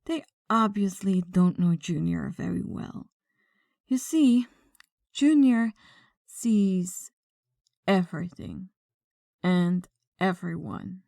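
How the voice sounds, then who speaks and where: A woman playing a commanding, mocking mafia boss in an ASMR audio drama, speaking deliberately with condescension and quiet menace. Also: lowish voice, lively intonation, gravelly, no echo, studio quality, full character voice. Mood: probing, smug.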